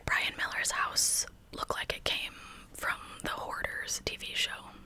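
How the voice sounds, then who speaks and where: Woman doing asmr true crime, all whispered, bright crisp hiss, chatty storyteller. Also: measured pace. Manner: conversational asides to listeners. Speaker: true crime channel host.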